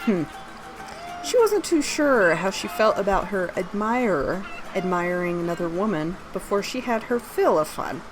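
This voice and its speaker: A woman's voice: mid-range voice, expressive, moderate pace, over music, low-quality recording. A woman reading her own fantasy story aloud, narrating scripted prose and its dialogue.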